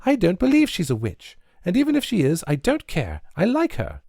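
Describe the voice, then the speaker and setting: A young tavern lass with a high, lively voice pleads through sobs. A man puts her on in a storytelling reading. The sound is close and dry. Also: normal speed, vocal fry, no room sound. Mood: tearful pleading.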